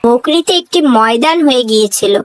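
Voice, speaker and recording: A woman's voice: fairly high pitch, animated, fairly quick, no echo. A woman narrating a children's cartoon fable in a scripted storybook style.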